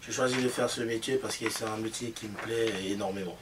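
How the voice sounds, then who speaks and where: A man's voice: on the low side, steady tone, brisk. A man in his late twenties whose first language is Creole, giving short, plain interview answers. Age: late 20s. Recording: distant mic with background noise.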